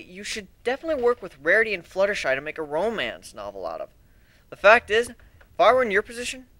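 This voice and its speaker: A man's voice: fairly high pitch, sharp tone, no room sound. A man voicing a cocky, teasing young pirate in an amateur dramatic reading, smug and snarky as he explains himself.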